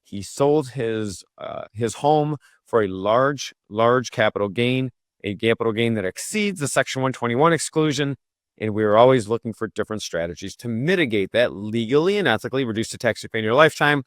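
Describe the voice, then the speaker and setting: A man's voice: mid-range voice, lively intonation, fairly quick, no echo, studio quality. A man hosting a podcast interview, talking eagerly and often restarting and correcting himself mid-sentence. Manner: enthusiastic, restarts and self-corrections. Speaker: podcast host.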